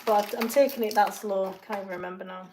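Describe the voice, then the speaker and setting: A woman's voice: medium pitch, steady tone, quick pace, a little mellow, slight background noise. A woman with an English accent, a fitness coach chatting casually through a live question-and-answer stream from her home kitchen. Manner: chatty, rambling, asides while cooking.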